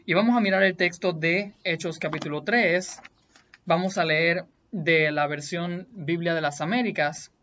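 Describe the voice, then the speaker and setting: A Puerto Rican man explaining Bible doctrine as the host of a teaching video, in a deliberate, point-by-point, repetitive way. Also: on the high side, pushed and bright, dull audio, a little hiss or hum. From Puerto Rico.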